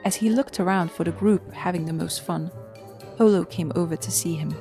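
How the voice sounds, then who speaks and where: A woman narrator with a voice on the low side, reading a scripted story. Faint music sits behind her storybook narration. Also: normal speed, mellow.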